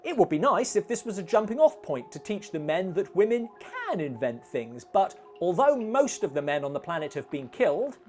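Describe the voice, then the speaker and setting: A woman's voice: on the low side, sing-song, moderate pace, hardly any pauses, a little mellow, faint music behind. A woman narrating a scripted film review in a dry, sarcastic manner.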